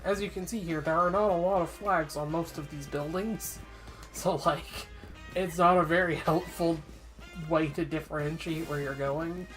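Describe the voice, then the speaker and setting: A woman's voice: low-pitched, fairly even intonation, moderate pace, faint music behind, rough recording. A woman streaming a game and chatting casually, slightly congested and sniffly, with lots of ums while giving candid opinions. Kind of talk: casual gameplay commentary.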